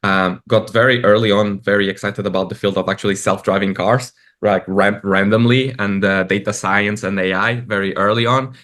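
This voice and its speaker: A man's voice: on the low side, fairly even intonation, moderate pace, hardly any pauses, a bit bright. A man from Portugal, a startup founder, talking loosely in an interview, with frequent fillers. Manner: loose and conversational, many fillers like "like" and "uh". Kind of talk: casual interview talk.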